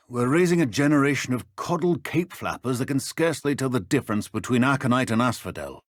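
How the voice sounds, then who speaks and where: An actor playing a stern, dry-witted middle-aged British potions professor in a video game, speaking in a measured, exacting, deadpan manner. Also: male voice, medium pitch, no echo, close to an everyday voice. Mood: stern, disapproving. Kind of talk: scripted game dialogue.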